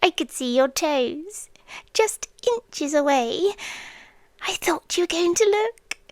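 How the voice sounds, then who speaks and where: A British woman playing an obsessive, possessive girlfriend in an ASMR roleplay, whispering softly and intimately close to the microphone. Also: very high voice, lively intonation, no room sound, full character voice. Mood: menacing intimacy. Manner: slow, breathy, intimate whispering mixed with soft voice. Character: obsessive, possessive yandere girlfriend lurking under the bed. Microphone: close, dry microphone.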